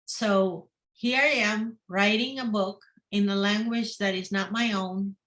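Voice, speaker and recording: Slow-talking woman, English not her first language. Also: medium pitch, steady tone, a bit bright. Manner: repeats words, restarts often. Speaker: guest author. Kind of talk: casual interview chat.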